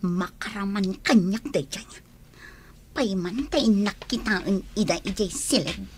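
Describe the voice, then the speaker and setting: A woman's voice: medium pitch, a little slow, slight background noise, lightly acted. An actress playing a put-upon young serving woman with an Ilocano accent in a radio drama. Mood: resentful, grumbling.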